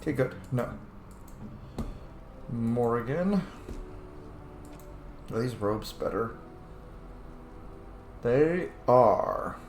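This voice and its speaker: A man's voice: medium pitch, expressive, drawn-out words, pauses often, a little mellow. A man giving casual let's play commentary as he plays a video game. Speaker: gaming streamer, player. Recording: roomy echo, faint game noise.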